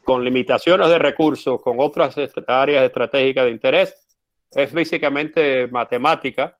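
Interview guest, male, second-language speaker, steady explanatory delivery with hesitations. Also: mid-range voice. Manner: measured, frequent "eh" fillers, explanatory. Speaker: interview guest, former US policy adviser. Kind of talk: conversational interview answer.

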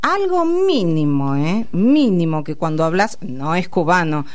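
A woman with an Argentine accent, an author guest chatting in an effusive, warm way. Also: fairly low pitch, very animated, a little slow. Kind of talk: casual chat.

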